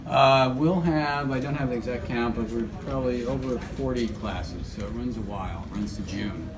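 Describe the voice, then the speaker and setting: A middle-aged man being interviewed as a drama teacher, explaining his work informally in halting phrases with many pauses. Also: mid-range voice. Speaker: radio theater teacher and actor being interviewed. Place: room at a senior center. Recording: very noisy room ambience.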